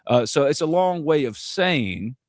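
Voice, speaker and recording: A man's voice: fairly high pitch, big pitch swings, unhurried, no room sound, studio quality. A man from North Mississippi, hosting a live sports podcast in a rambling, joking, self-deprecating way. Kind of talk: casual live sports chat.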